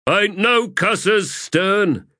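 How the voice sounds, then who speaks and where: A man's voice: mid-range voice, big pitch swings, takes it slowly, vocal fry, sharp tone, no echo, studio quality, pitched above the performer's own voice, exaggerated voice. A man voicing a babbling, callous soldier shaman in an audiobook, with folksy bad grammar. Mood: defensive. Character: slovenly, chatty male soldier shaman, cheerfully callous.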